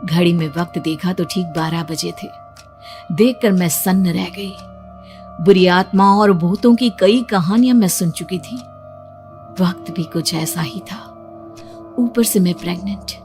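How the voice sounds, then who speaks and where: A woman's voice: on the low side, measured pace, a little mellow, faint music behind. A woman narrating a horror story in the first person with a suspenseful, theatrical delivery.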